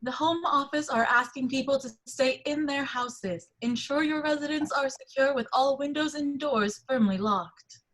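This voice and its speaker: Measured television newsreader played by a woman, slightly high pitch, official manner, reading from a film script. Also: a bit bright, low-quality recording. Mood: grave, official. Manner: formal, official. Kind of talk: amateur screenplay table read.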